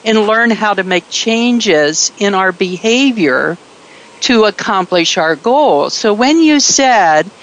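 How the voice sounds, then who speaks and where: Slow-spoken woman, hesitant and thoughtful. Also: medium pitch, expressive, highs cut off. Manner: slow, hesitant, with many fillers. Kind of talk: reflective conversation.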